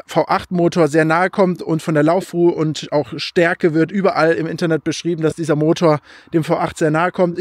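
Casting: young male car vlogger, high-pitched voice, eager and rambling, dry close phone-mic sound. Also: darkish tone, no room sound. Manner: enthusiastic, rambling, thinks aloud and corrects himself. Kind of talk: casual car review vlog. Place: outdoors by a car in a snowy field.

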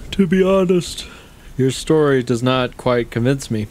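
An actor playing a sceptical, composed confidant with formal, measured questioning in a stage play. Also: male voice, on the high side, a little mellow. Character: sceptical, probing, morally composed male confidant.

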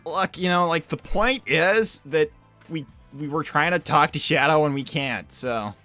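A man voicing a brash young cartoon hedgehog hero, flustered and defensive, talking casually and haltingly in character. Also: fairly high pitch, sharp tone, faint music behind. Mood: baffled. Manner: halting, casual teenage slacker phrasing. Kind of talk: improvised in-character livestream Q&A.

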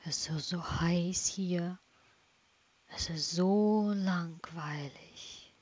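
A woman playing bored zoo animals longing for the circus, wistful and drawn-out, in an amateur cartoon. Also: on the low side, darkish tone, slightly rough, no room sound, heavily acted. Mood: bored, listless. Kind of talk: amateur animated story dialogue. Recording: slightly dull, lo-fi sound.

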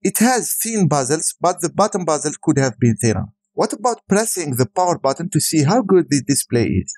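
A man's voice: fairly high pitch, very animated, a little fast, darkish tone. A man who is a native Arabic speaker, giving a scripted voice-over product review as a tech reviewer.

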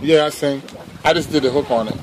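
A young man filming on his phone outdoors on a boat, loud and hyped up, chanting along with the kids. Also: fairly high pitch, fairly quick, a crowd in the background. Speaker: camera operator. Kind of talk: casual chat. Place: outdoors on a boat at a lakeside campground. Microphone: handheld phone camera.

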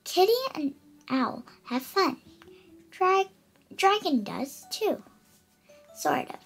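A woman's voice: high-pitched, big pitch swings, slow, creaky, soft background music. An eight-year-old girl reading a children's picture book aloud expressively and carefully, stumbling over some words. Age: child, about eight. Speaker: young storybook reader.

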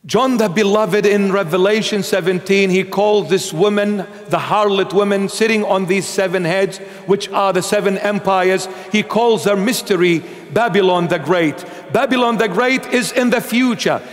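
High-voiced bishop preaching in a church. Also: male voice, normal speed, few pauses. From Iraq. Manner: emphatic, gesturing, animated preaching. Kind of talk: sermon with Bible reading. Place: large church sanctuary, seated at altar. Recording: long church reverb.

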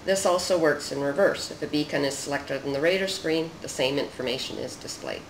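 A narrator reading a scripted research demonstration in a measured, explanatory way. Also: mid-range voice. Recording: roomy echo with noticeable background noise.

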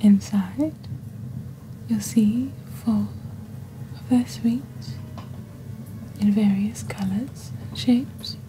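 Hushed, slow, whispering ASMR woman. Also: medium pitch, noisy background. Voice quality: breathy, whispery. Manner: slow, deliberate, hushed, theatrical storyteller delivery. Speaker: host of a roleplay shopping channel. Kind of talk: soft-spoken ASMR, scripted roleplay presentation. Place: indoors at a desk. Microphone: close desktop microphone.

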